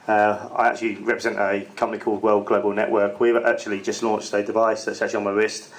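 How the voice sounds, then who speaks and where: A man giving an unscripted sales pitch to a room, filling his talk with "actually" and "uh" and often repeating words. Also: little pitch movement, fast talker, low-quality recording. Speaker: sales representative pitching a product. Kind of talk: impromptu sales pitch at a networking event. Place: event room with an audience.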